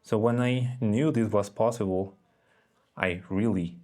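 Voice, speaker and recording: A man's voice: on the low side, steady tone, unhurried. A man narrating a personal story for his video channel, earnest and reflective. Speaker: vlogger. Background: soft guitar music.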